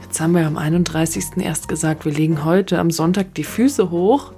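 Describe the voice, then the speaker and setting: A woman's voice: sits low, a little fast, mellow, faint music behind. A woman speaking casually as a podcast host, chatting to her listeners.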